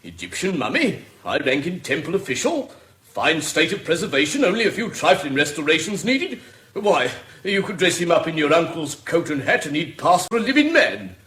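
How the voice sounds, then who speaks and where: An actor playing a pompous, wheedling auctioneer with a British accent in a radio drama. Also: male voice, fairly high pitch, big pitch swings, moderate pace, fairly bright tone, echoey room. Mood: showman-like. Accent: British English. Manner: pompous, salesmanlike patter. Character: theatrical wheedling male auctioneer, easily affronted.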